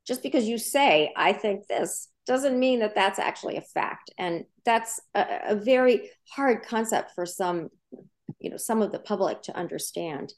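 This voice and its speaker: A woman's voice: medium pitch, lively intonation, normal speed. Woman, journalism professor as interview guest; earnest, explanatory, emphatic. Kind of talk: interview answers.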